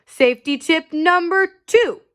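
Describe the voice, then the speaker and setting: A woman voicing an earnest, proud male police officer who announces safety tips deliberately in a picture book read-aloud. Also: very high-pitched, a bit bright, pitched above the performer's own voice. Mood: earnest, instructive.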